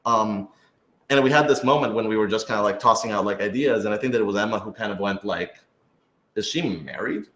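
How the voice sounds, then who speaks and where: A man's voice: fairly low pitch, a little fast, a bit bright. A man chatting casually on a question-and-answer panel as an audio drama's writer, rambling as he thinks aloud. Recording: roomy, echoey room sound.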